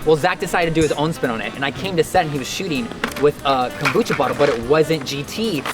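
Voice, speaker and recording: Upbeat young man, slightly high voice. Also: lively intonation, fast, over music. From Dominican Republic. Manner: earnest and upbeat, yelping at the cold. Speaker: guest. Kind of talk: casual interview chat.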